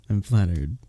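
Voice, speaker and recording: A man playing a gentle, earnest village innkeeper in a medieval ASMR roleplay, speaking softly and intimately in formal, old-world phrasing. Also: fairly low pitch, husky, dry recording, exaggerated voice. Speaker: audio roleplay performer. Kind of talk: ASMR roleplay, intimate one-sided conversation.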